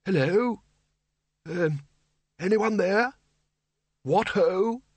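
A man's voice: sits high, expressive, very slow, slightly rough, dry recording, voice pushed up, full character voice. A man voicing a very old wizard in an audiobook, cheerfully grumpy and muttering, with hesitant ums. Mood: puzzled. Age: older. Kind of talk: audiobook character voice.

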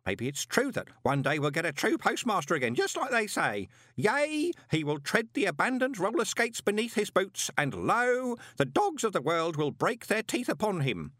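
High-pitched, grumbling old postman. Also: huge pitch swings, moderate pace, hardly any pauses, a bit bright, no room sound, studio quality, exaggerated voice. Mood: muttering, excited. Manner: muttering, rambling, uneducated colloquial speech, mock-solemn. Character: grumbling, anxious, superstitious old male junior postman.

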